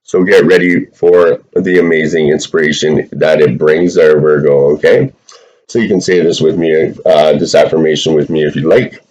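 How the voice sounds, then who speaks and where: A man's voice: rumbling low, steady tone, normal speed, darkish tone, lo-fi sound. A man giving a casual, chatty tarot reading straight to his viewers, with lots of fillers and the odd swear word. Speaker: tarot reader.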